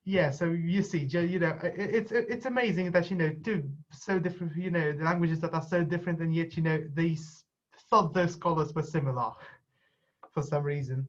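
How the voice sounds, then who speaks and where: A man with a Polish accent speaks over a muffled, lo-fi call line. His voice sits slightly high, and he stumbles along, padding nearly every phrase with "you know". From Poland. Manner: halting, constant "you know" fillers. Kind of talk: casual chat. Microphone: video call. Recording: muffled video call audio.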